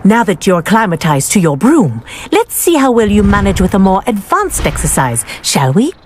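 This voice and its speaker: Strict, lively woman teacher played by an actress. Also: medium pitch, normal speed, a little hiss or hum, natural voice. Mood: instructive. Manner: crisp, stern, authoritative. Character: strict but fair female flying teacher. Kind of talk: video game character acting.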